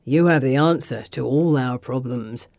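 Woman voicing a sneering, low-pitched male thug. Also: moderate pace, a little mellow, no room sound. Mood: sneering menace. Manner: taunting, drawling, threatening. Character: menacing, sneering male thug and knife-wielding villain. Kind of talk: audiobook character voice.

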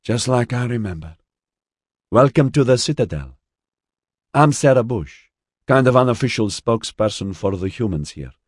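Guy doing a gruff cheerful old lady, northern mediterranean accent, pitched up and swingy, storybook expedition guide. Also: lively intonation, moderate pace, pauses often, no room sound, studio quality, natural voice. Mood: hearty, welcoming. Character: gruff, cheery, weathered woman in her sixties, expedition guide.

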